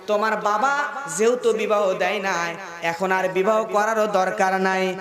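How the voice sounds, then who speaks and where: A Bangladeshi man voicing a lustful, menacing young butcher in a sermon story, with drawn-out, declamatory speech. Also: sits high, fairly even intonation. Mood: coaxing, insistent. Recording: echoing loudspeaker sound with crowd.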